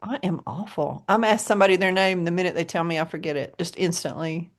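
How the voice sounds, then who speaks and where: An American woman with a low voice co-hosting a podcast, chatting casually and often laughing. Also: fast. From United States. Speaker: podcast co-host. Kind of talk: casual chat.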